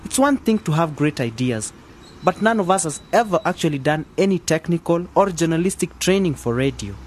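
An actor playing an outspoken, practical young club member in a radio drama, with a frank, questioning manner. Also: male voice, fairly high pitch, animated, brisk, a little hiss or hum, lightly acted. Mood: concerned.

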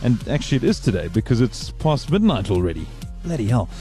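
A man's voice: medium pitch, big pitch swings, fairly quick, dark tone, faint music behind. A man hosting a podcast in casual chat, rambling and digressing as he talks. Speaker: podcast host.

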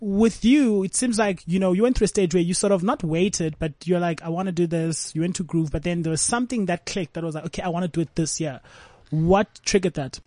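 Man, South African, host, high voice, dry sound, encouraging. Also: a little fast, darkish tone, no echo. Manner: hyped, encouraging questioner. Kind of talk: casual interview.

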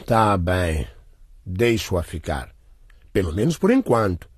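Husky, grudging father with swooping voice. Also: low voice, big pitch swings, slow, no echo, studio quality, heavily acted. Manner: hesitant, grumbling, hums and haws. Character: grudging, suspicious, opportunistic father of a schoolgirl. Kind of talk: radio drama acting.

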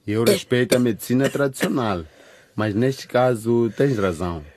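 An actor playing a calm, concerned hospital doctor in a radio drama, measured and courteous. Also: male voice, mid-range voice, no room sound, close to an everyday voice.

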